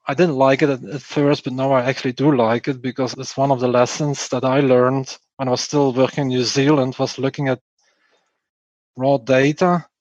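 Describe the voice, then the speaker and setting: A man's voice: mid-range voice, dry recording, highs cut off. A man from Belgium with a Flemish accent, explaining things in an interview and repeating key phrases for emphasis. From Belgium, Flanders. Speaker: podcast guest, performance testing engineer.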